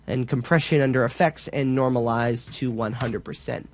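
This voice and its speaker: A man presenting a software tutorial in a casual, informal way, explaining step by step a little hesitantly. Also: medium pitch, steady tone, moderate pace, heavy background noise.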